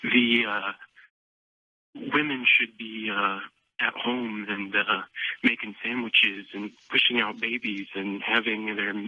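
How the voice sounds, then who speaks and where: A man phoning a live TV program, speaking very haltingly and hesitantly. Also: fairly low pitch, fairly even intonation, pushed and bright, no echo, exaggerated voice. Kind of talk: prank call posing as hesitant opinion. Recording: dull, dry telephone sound.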